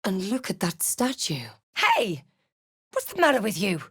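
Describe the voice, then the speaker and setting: A woman playing a snarky, brave adventurer spellcaster in a fantasy video game, speaking in a theatrical, wry and deliberate way. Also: medium pitch, wildly swooping pitch, breathy edge, no room sound, studio quality, heavily acted. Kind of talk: video game voice lines, dramatic narration and spell chants.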